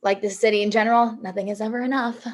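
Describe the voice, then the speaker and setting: A woman's voice: mid-range voice, steady tone, fast talker, darkish tone, natural voice. A woman playing a sarcastic, streetwise assistant in her mid-twenties, reading a screenplay with dry delivery. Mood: dry, self-deprecating. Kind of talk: screenplay table read acting.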